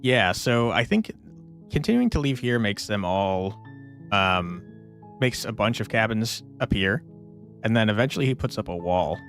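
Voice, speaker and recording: Male game streamer with lively intonation. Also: fairly low pitch, moderate pace. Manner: informal, reads out chat and answers it. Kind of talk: casual live gameplay commentary, chatting with viewers. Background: game music.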